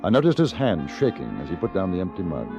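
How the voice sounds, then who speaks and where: An American actor playing a calm, observant western traveler who narrates his own story in a radio drama. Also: low voice, fast talker, music in the background, full character voice. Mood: measured, watchful.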